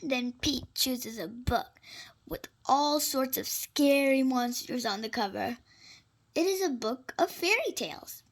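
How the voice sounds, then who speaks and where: A very high-pitched voice reads a children's storybook aloud at a slow, careful pace. The delivery is animated and expressive, with a creaky edge and little asides to young listeners. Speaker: storybook reader.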